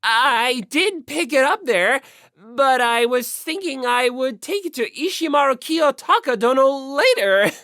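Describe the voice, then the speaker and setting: An excitable young male anime geek played by a woman in an audiobook. The voice is pushed high and swings widely, with pompous, old-fashioned formality and drawn-out words. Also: no echo, clean studio sound. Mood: defensive. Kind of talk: audiobook character acting.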